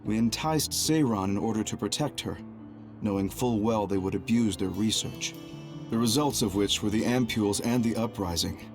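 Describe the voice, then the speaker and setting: Guy playing a low voiced young double agent, calm n formal, very serious, anime game cutscene style. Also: over music, close to an everyday voice. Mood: grave, composed. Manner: measured, formal, grave. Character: composed, calculating young male double agent, quietly remorseful.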